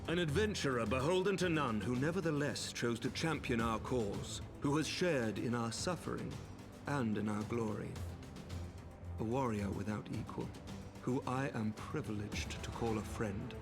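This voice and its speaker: An actor playing a noble, resolute knight commander in a video game, speaking formal, eloquent English. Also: male voice, mid-range voice, moderate pace, close to an everyday voice. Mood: gracious, earnest. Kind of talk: scripted video game cutscene acting. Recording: music behind the voice.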